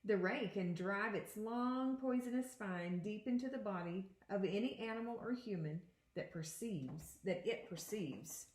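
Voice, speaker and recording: A woman teacher giving an interactive read-aloud for young children, reading deliberately and pausing to put questions to her listeners. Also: medium pitch, a little mellow, reverberant. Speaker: second grade teacher.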